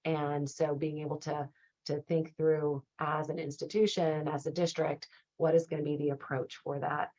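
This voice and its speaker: Low, slightly raspy woman trainer. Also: little pitch movement, moderate pace, dark tone. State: slightly raspy, starting to lose her voice. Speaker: trainer leading a professional training. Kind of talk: conversational slide presentation. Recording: dull, muffled lo-fi audio.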